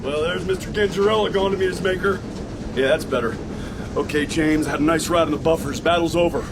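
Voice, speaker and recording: Radio drama sidekick, male actor: American agent, high-voiced, punchy, wry, amid loud vehicle noise. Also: fairly quick, lightly acted. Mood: wry, urgent. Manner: punchy, wisecracking. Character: brash, wisecracking American male agent, loyal, urgent. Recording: noisy, with loud vehicle ambience.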